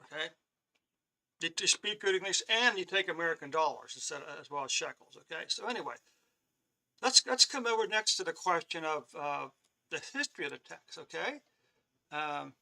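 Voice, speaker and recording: Male Bible teacher, high and very bright voice, halting delivery, clears his throat often. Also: lively intonation, low-quality recording. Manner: checks in with "okay?" often; reads from on-screen notes and explains them. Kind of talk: live-streamed Bible lesson.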